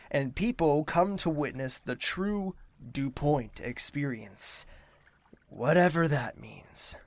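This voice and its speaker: An actor playing a burdened young prince in an audio drama, speaking formally and introspectively with a weary manner. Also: male voice, medium pitch, gravelly, no room sound. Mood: grand, mocking.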